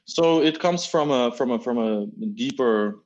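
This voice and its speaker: A man's voice: medium pitch, measured pace, lo-fi sound. A middle-aged man from Albania, answering interview questions earnestly and often repeating words as he searches for them. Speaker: guest.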